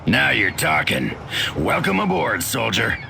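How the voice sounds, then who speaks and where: An actor with an American accent voicing a gruff, bossy, suspicious military man in a video game, with a gravelly voice. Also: medium pitch, wildly swooping pitch, unhurried, heavily acted. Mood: approving. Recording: noisy outdoor game ambience behind the voice.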